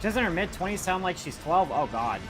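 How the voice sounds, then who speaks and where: A young man with a high, youthful voice that moves up and down a lot as he talks. He is livestreaming a game, rambling informally and swearing freely. Music plays clearly behind him. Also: lively intonation, normal speed, poor audio. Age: young adult. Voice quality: youthful-sounding voice. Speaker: livestreamer playing a video game. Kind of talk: casual gaming commentary and chat.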